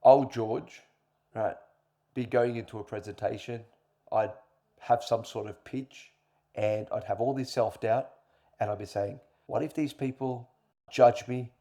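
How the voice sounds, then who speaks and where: A man's voice: mid-range voice, steady tone, a little slow, warm tone. A middle-aged man answering interview questions earnestly, explaining with examples and repeating points for emphasis. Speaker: interview guest, coach.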